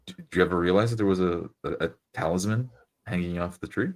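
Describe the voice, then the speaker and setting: Man playing a very deep, earnest devout adventurer. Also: natural voice. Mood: polite, curious. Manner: halting, polite, solemn. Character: earnest, devout male adventurer reading omens.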